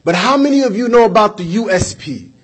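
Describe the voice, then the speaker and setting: A man's voice: high voice, expressive, fairly quick, noisy background. A young American man giving an emphatic political speech at a podium microphone in an indoor hall, hammering his points home through repetition. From United States. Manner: emphatic, repeats points for effect, gestures while speaking. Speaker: speaker at a community event. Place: indoor hall with flags behind. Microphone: podium microphone on a stand.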